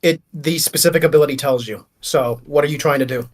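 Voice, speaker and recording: A man's voice: fairly high pitch, fast talker. A man playing a tabletop game, talking casually and explaining how the rules work.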